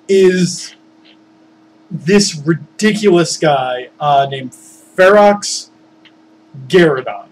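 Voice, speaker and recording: A man casually recounting his tabletop games as game master, rambling and informal, animated when he acts out what people said. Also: on the high side. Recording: noisy background.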